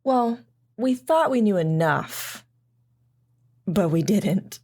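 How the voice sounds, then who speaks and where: A woman's voice: medium pitch, expressive, slow pace, a little mellow. A woman playing a loving, teasing mother in an audio drama, both telling the story and talking with her child. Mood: reflective narration. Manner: reassuring, teasing. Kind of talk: audio drama narration and dialogue. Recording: music under the voice. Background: music.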